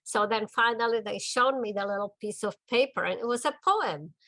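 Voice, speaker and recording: An older woman from the Czech Republic with a Czech accent, talking about her art in a documentary interview in her home studio, gentle and thoughtful with small pauses. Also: a little high, dry recording. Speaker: artist.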